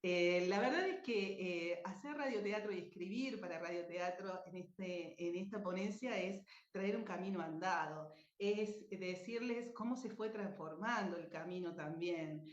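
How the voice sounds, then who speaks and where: A woman's voice: on the low side, measured pace, few pauses. An Argentine woman giving an informal, effusive, anecdotal talk as a presenter. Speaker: radio drama writer and speaker at a book fair. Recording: dull, echoey lo-fi room sound.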